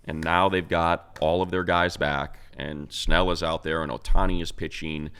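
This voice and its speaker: Very deep-voiced man talking sports. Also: fairly even intonation, normal speed, fairly bright tone, clean tone. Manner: conversational, explanatory, poses rhetorical questions. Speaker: sports talk show host. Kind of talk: casual sports talk and analysis.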